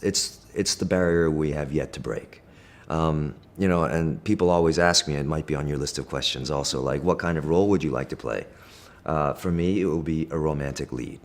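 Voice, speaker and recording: Middle-aged man, native Korean speaker, extremely low voice, measured interview answers with pauses and restarts. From Korea, raised in Pennsylvania. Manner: thoughtful, measured, many fillers and restarts.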